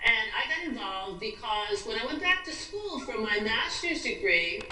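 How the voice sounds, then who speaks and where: Bright-voiced American woman on a roomy call. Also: on the high side, big pitch swings, moderate pace. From New York State, USA. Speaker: interview guest, education activist. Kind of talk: earnest advocacy interview. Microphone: video call. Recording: roomy, distant, noisy internet video call.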